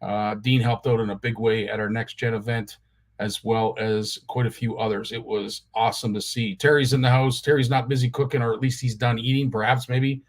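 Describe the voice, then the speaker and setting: A man hosting a casual live stream, his voice raspy and strained, greeting viewers one by one. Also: mid-range voice, little pitch movement, a little fast. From Canada. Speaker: live show host. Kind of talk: casual livestream chat.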